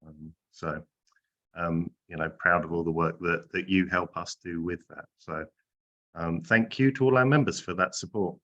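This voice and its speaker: A man with a very deep voice and a bright, forceful tone gives closing thanks as host of a meeting. His talk is loose and filled with "you know". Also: moderate pace, dull audio. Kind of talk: closing remarks on a video call.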